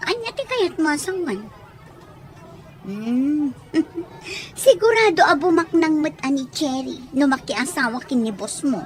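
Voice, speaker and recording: A woman's voice: sits high, animated, unhurried, some chatter behind, low-quality recording. A woman playing a worldly, dismissive household helper in an Ilocano radio drama. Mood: gossipy.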